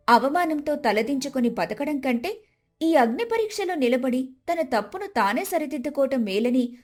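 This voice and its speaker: A woman narrates a scripted family moral story. Her voice sits high, and music plays faintly behind her. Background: background music.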